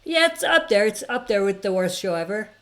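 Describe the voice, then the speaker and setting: A woman hosting a live chat stream, talking loosely and with conviction. She reads out viewer comments, then answers them at length, with frequent fillers and restarts. Her voice sits at an ordinary middle pitch. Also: sing-song, fairly quick. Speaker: livestream host answering chat questions.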